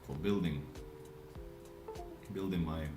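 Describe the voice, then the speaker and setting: A man with a Russian accent, live streaming and chatting casually with viewers, speaking in a deliberately lazy, relaxed way. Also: sits low, roomy sound, music in the background. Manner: intentionally lazy, relaxed way of talking.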